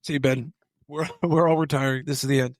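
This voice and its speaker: A man's voice: medium pitch, steady tone, a little fast, no echo. A man in his late thirties co-hosting a podcast, easygoing and full of fillers in casual chat. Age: 30s. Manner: easygoing, filler-heavy, laughs along. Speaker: podcast co-host. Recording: dry close sound.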